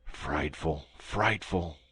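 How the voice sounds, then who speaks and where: A man's voice: lowish voice, wildly swooping pitch, husky, no room sound, exaggerated voice. A man doing a grave, stern musketeer. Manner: measured and stern.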